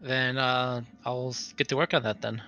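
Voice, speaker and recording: Guy playing a tabletop rpg, normal pitch, bright voice, casual chat, faint music behind. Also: steady tone, normal speed, lo-fi sound. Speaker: tabletop roleplaying player.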